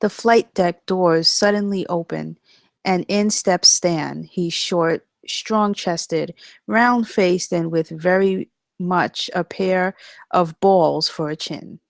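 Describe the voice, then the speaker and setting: Slow-paced, low-voiced woman narrator. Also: gravelly, no room sound. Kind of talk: scripted narration of comedy screenplay.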